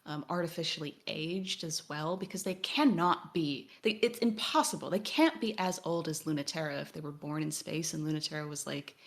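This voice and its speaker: A woman's voice: deep voice, normal speed, hardly any pauses. A woman co-hosting a podcast, discussing a game analytically in a thoughtful, academic way. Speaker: podcast co-host.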